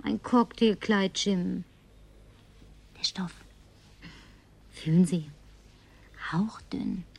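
An actress playing a tipsy, flirtatious, lonely woman survivor in a radio drama, coquettish and slipping into breathy whispers. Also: on the low side, very slow, pauses often, heavily acted. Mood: flirtatious, coaxing. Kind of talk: German radio drama acting.

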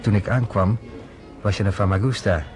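A man's voice: lowish voice, steady tone, normal speed, a little mellow, faint music behind, close to an everyday voice. A man playing a wry, worldly journalist. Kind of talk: radio play acting.

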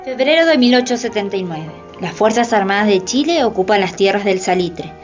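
A woman's voice: mid-range voice, animated, brisk, natural voice. A woman performing as a chronicler in a radio play, announcing history in a scripted bulletin-like style. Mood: declarative. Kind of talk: scripted dramatized narration in Spanish. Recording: dull lo-fi radio play, faint music.

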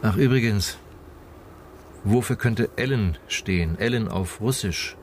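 An actor playing a sober investigator, asking measured, formal questions in a naturalistic radio drama. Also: male voice, fairly low pitch, a little mellow, a little hiss or hum, lightly acted. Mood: probing. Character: male investigator, sober and probing.

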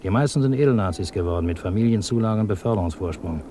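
Male actor for a hard-nosed revolutionary agent, mellow low voice, probing and sardonic, faintly noisy old radio recording. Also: lively intonation, rapid-fire, close to an everyday voice. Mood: earnest, insistent. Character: sardonic, insistent German communist agent, ex-officer, professional revolutionary. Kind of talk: 1950s German radio drama acting.

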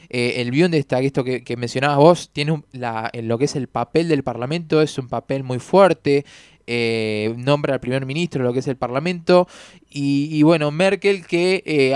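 A man co-hosting a talk show, analyzing political news in a measured, hesitant way with many fillers. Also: medium pitch, clear, resonant tone, dry recording, studio quality. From Argentina. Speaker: radio co-host.

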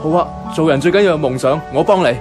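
A man's voice: fairly high pitch, fast talker, over music, natural voice. A man playing an easygoing, teasing office colleague in a Hong Kong Cantonese radio drama, casual and coaxing. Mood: reassuring, lively.

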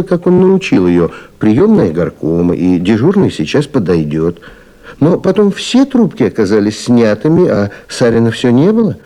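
A man's voice: mid-range voice, mellow, natural voice. A man narrating a literary story as the storyteller of a radio play.

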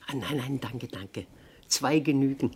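An actress playing a strong-willed, shrewd seventy-year-old aunt in a 1960s radio play, brisk and admonishing. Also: female voice, extremely low pitch, darkish tone. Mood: frank, measured. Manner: brisk, admonishing, matter-of-fact. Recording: 1960s radio recording with background noise.

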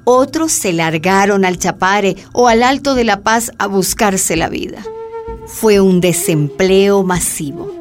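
Woman narrator, mid-pitched, over music. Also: slow pace. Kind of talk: scripted radio documentary-drama narration.

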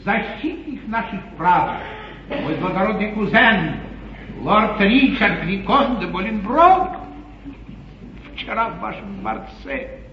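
An actor playing an eloquent, ironic English lord in a classical 1950s stage comedy, declaiming grandly. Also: male voice, high voice, very animated, slow pace, heavily acted. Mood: declamatory indignation. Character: eloquent, theatrical English lord, an opposition politician. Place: theatre stage. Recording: dull 1950s live theatre recording, echoey hall, hiss.

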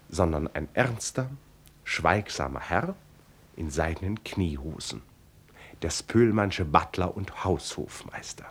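A male storyteller with a fairly low voice narrates a radio play. He is ironic and teasing, with a knowing, conversational way of addressing his audience and characters. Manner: ironic, teasing, chuckling asides.

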